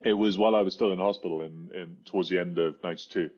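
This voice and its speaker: Deep-voiced man, halting, dull muffled audio. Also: steady tone, dry recording. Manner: halting, stammers and repeats words. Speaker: interview guest. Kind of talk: personal storytelling in conversation.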